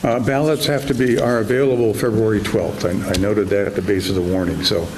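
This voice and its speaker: A man advising a town board, explaining procedure in a soft, measured way. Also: lowish voice, fairly even intonation, slight background noise, rough recording.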